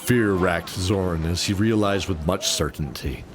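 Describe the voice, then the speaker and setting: A man's voice: low voice, measured pace, background noise. A man narrating a scripted fantasy adventure as a dramatic storyteller. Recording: music bed under narration. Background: music.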